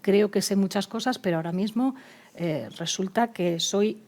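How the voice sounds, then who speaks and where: A woman speaking on an expert panel, with a soft and mellow tone. Her speech is halting and searching, full of fillers and restarted phrases. She sounds like she is working out her points as she talks. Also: mid-range voice. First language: not Spanish. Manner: hesitant, many fillers and restarts. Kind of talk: panel discussion.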